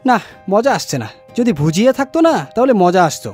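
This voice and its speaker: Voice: medium pitch, very animated, quick pace, a little mellow, close to an everyday voice. A performer voicing a fussy, greedy, demanding customer in a comic cartoon, bossy and wheedling, with music behind. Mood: bossy, nagging. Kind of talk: Bengali comic cartoon dialogue.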